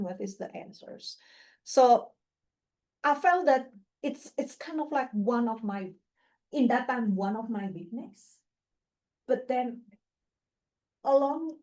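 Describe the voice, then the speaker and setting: A woman's voice: fairly high pitch, a little mellow, poor audio. A woman who does not speak English natively, giving an informal talk with slides, chatty and full of fillers and restarts, laughing often. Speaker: guest speaker, faculty member.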